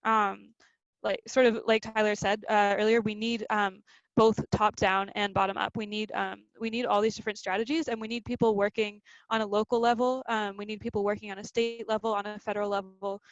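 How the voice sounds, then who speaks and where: Woman, 20, youth organizer on a video call; gravelly, casual presenter, dull dry audio. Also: mid-range voice, little pitch movement, a little fast, no echo. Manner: filler-heavy, loose explanatory talk.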